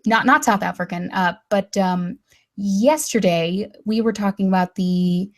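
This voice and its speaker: A woman's voice: medium pitch, a little slow. A young woman from Texas talks casually as a guest. She hesitates and restarts often, and her humor is dry and sarcastic. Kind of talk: casual chat.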